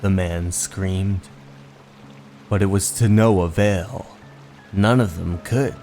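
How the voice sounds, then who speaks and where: A man narrating a scripted horror story in a theatrical, measured way. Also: sits low, mellow. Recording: voice over background music.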